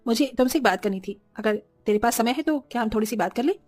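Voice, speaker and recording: A woman voicing a jealous, insistent village wife and mother in an animated horror story. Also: on the high side, fast, soft background music, lightly acted. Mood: insistent, angry. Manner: scolding, insistent.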